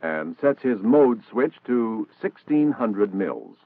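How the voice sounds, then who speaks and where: A man's voice: fairly low pitch, big pitch swings, slow pace, dry recording. An American man narrating a scripted 1960s military training film in a formal, precise, instructional manner. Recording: dated narrow-band 1960s film soundtrack.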